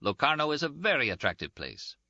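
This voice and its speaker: A pompous male bureaucrat acted by a man reading aloud argues with pedantic indignation. The voice jumps high and swoops emphatically, forceful and sharp, in a dry audiobook recording. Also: very animated, fast, no echo, lo-fi sound. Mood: indignant, insistent. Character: indignant, pedantic male Swiss petty official. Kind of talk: audiobook character dialogue.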